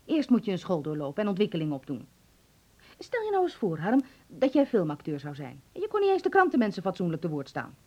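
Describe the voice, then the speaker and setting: A woman's voice: fairly low pitch, wildly swooping pitch, fast talker, mellow. An actress playing a stern, worried middle-aged mother in a Dutch radio play, with an emphatic, scolding manner. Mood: stern, insistent. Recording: muffled radio drama recording.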